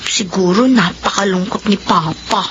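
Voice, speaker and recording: A woman playing an eager schoolgirl daughter who longs for her dead father, in expressive Filipino radio drama style. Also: mid-range voice, normal speed, lightly acted. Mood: wistful. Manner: expressive, girlish. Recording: muffled, noisy radio drama recording.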